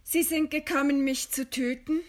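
An actress playing a proud, composed dissident writer in a German radio play, speaking with measured, scornful eloquence. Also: female voice, high voice, steady tone, lightly acted. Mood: calm irony. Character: condemned dissident woman writer, widowed, proud, defiant, composed.

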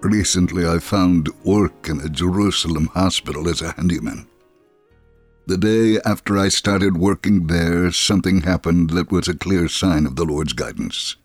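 A devout immigrant handyman looking back on his life. The voice is very deep and recorded dry and close. It is a dramatic first-person reading with soft music underneath. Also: no room sound.

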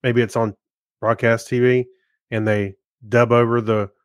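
A male podcast co-host speaks in a middle pitch. His delivery is casual and conversational, like loose storytelling among friends. The recording is dry, with hardly any room sound. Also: level tone, unhurried, no echo. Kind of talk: casual chat.

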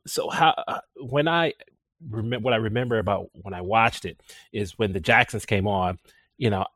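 A man speaking as a guest in a casual roundtable, enthusiastic and halting, with many restarts. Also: low voice, fairly bright tone, no room sound. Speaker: panel guest.

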